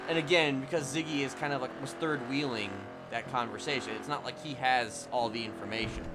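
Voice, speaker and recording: A man's voice: mid-range voice, brisk, noisy background. A man livestreaming casual commentary on a roleplay game, explaining things in a rambling, hedging way. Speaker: streamer.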